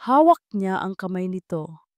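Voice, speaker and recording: A woman's voice: medium pitch, big pitch swings, normal speed, a little mellow, no room sound, clean studio sound. A woman narrator reading a scripted romantic drama story.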